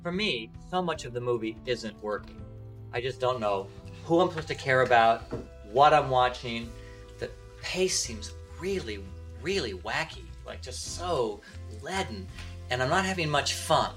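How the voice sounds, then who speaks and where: A man's voice: mid-range voice, expressive, measured pace, a bit bright. A man, a film studio executive, giving spoken feedback in archive footage. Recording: archive footage with music underneath.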